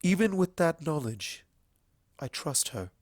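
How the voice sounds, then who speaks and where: A man voicing a kind, earnest female alicorn pony princess in a storybook reading, speaking in a solemn, measured way. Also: on the high side, big pitch swings, no room sound, voice pushed up. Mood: grave, trusting. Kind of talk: fan fiction storybook reading.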